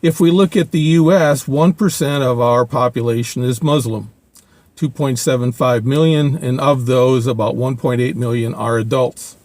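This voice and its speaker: Halting older man musing to camera. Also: medium pitch, darkish tone, no echo. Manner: halting, many fillers and restarts. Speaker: video blogger. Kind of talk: casual opinion monologue.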